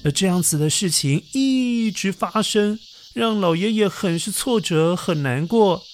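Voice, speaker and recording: Man, children's storyteller, gentle and coaxing, playful sound effects, clear backing music. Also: high voice, expressive, a little slow. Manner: gentle, coaxing, addresses young listeners as little ones. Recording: narration over backing music.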